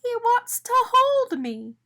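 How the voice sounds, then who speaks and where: Woman acting a little male storybook doughnut, very high voice, swooping intonation, excitable, indignant, theatrical. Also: takes it slowly, full character voice. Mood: pleased. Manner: exclamatory, dramatic.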